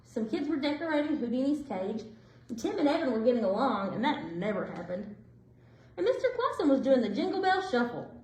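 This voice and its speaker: A woman reading a children's storybook aloud in an expressive, deliberate way. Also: high-pitched, slight background noise. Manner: animated, deliberate phrasing. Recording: roomy indoor echo.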